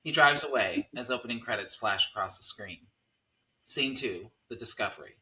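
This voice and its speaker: Man with bright, sharp narrating voice. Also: mid-range voice, normal speed, a little hiss or hum, poor audio. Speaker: narrator reading stage directions. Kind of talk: script narration at a table read.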